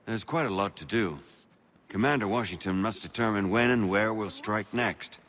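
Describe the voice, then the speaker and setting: An actor playing a shrewd, persuasive colonial revolutionary leader in a video game, speaking formally and rhetorically with assurance. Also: male voice, on the low side, normal speed, a bit bright, close to an everyday voice. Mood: assured, pragmatic. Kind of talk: dramatic game dialogue. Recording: long reverberant hall echo.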